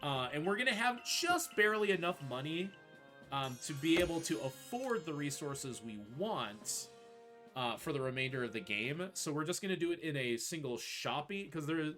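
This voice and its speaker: A man's voice: on the high side, big pitch swings, moderate pace, sharp tone. A man playing through a game speedrun while casually explaining his route live, with music behind him.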